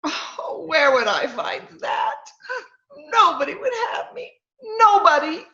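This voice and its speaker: A woman's voice: high voice, very animated, slow, pushed and bright, heavily acted. A woman playing a frightened, hysterical young woman, tearful and self-pitying, in an old-time radio drama. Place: home, remote video call recording. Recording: echoey, dull remote home recording.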